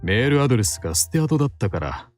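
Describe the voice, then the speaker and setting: Actor as friendly polite bar owner guy in a game, super quick, lively pitch, soft music. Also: natural voice. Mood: explanatory. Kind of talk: Japanese visual novel voice acting.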